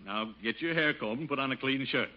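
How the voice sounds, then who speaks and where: An actor playing a blustery, pompous middle-aged bachelor uncle in a theatrical 1950s radio comedy style. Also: male voice, medium pitch, fairly quick, close to an everyday voice. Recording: muffled, faintly noisy old radio recording.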